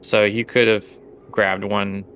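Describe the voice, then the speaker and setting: A man narrating a tabletop game as game master, halting as he thinks aloud. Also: on the low side, level tone, fairly bright tone, a little hiss or hum, low-quality recording.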